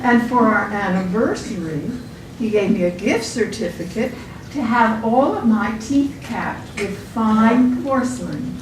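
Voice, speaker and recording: A woman's voice: a little high, unhurried, lightly acted. A woman playing a boastful, well-to-do reunion guest who gushes and shows off in an amateur comedy skit. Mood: gushing, boastful. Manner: gushing, showing off. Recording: roomy, distant, noisy live stage recording.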